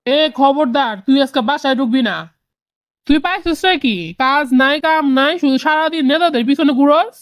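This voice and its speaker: A woman's voice: sits high, normal speed, no echo, lightly acted. A woman voicing a worried, nagging mother of a grown son in a cartoon, with Bangladeshi speech. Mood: scolding. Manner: nagging, admonishing. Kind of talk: cartoon dialogue.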